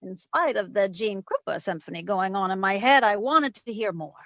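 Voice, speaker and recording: A woman playing a sassy, quick-witted private detective, narrating her case in old-time radio drama style. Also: mid-range voice, lively intonation, fairly bright tone, no echo, highs cut off, close to an everyday voice. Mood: wry, suspenseful. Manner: wry, wisecracking.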